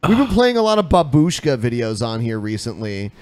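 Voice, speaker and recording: A man's voice: mid-range voice, big pitch swings, fairly quick, darkish tone, a little hiss or hum. A man co-hosting a podcast, giving casual comedic commentary in a wry, sarcastic way. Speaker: podcast co-host.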